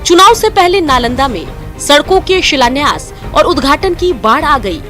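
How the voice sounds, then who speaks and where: A woman's voice: high voice, very animated, normal speed, a bit bright, faint music behind. A woman with an Indian accent delivering a scripted television news voice-over. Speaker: news narrator.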